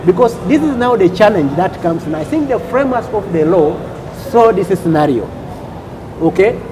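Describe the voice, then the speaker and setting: A man from Uganda, a customs official on a talk show, explaining rules deliberately and repeating points with "okay?" checks. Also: sits high, lively intonation, noisy background.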